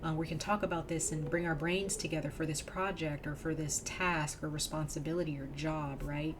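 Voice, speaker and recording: A woman with a low voice talks through a tarot reading in a loose, chatty way, full of ums and you knows. She sounds a little nasal and sniffly from a cold. The recording carries clear background noise. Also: fairly even intonation, normal speed. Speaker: tarot reader. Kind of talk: casual tarot reading to camera.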